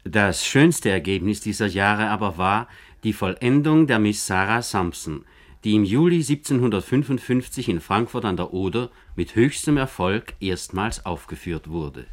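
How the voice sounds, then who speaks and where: A man with a low-pitched voice narrates a literary documentary for radio. He speaks in deliberate, declarative statements, setting out each point formally. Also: expressive.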